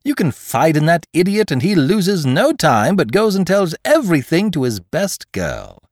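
A man voicing a mocking gentleman thief. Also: big pitch swings, normal speed, dry recording, clean studio sound. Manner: teasing, theatrical swings in pitch. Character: cocky male gentleman thief, mocking and playful. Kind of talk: audiobook character reading.

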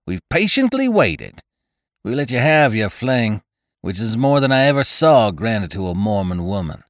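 A man voicing a stern, fanatical male church elder who gives orders in a raised, forceful voice. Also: fairly low pitch, very animated, moderate pace, no room sound, clean studio sound, voice pushed up. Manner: raised, forceful, commanding delivery.

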